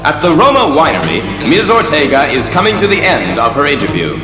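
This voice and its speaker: A male narrator delivers dramatic scripted storytelling over clear background music. His voice is slightly high and very expressive, with portentous phrasing and a reverberant ring. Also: a little fast. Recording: echoey reverb, music behind the voice.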